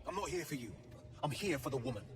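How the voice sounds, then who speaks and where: A man's voice: fairly high pitch, animated, fast talker, music in the background, natural voice. A man playing an earnest, heroic young monster hunter in a video game, urgent and indignant. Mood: demanding. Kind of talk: video game character acting.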